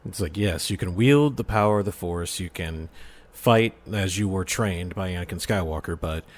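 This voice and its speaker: A man with a lowish voice chats casually on a podcast. He speaks in a halting way, working out his thoughts as he goes. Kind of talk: casual discussion.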